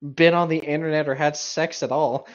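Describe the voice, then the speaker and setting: A man's voice: on the high side, steady tone, moderate pace. A man banters casually as a co-host, mocking and profane. He jokes in a loose, conversational way. The sound is a little dull, like a modest home recording. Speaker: co-host reading and mocking internet horror fiction.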